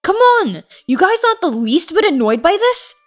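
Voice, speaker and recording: A woman's voice: very high voice, very animated, moderate pace, pitched above the performer's own voice. A woman voicing a hot-tempered male cartoon rabbit hero, indignant and emphatic, in a story reading. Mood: angry.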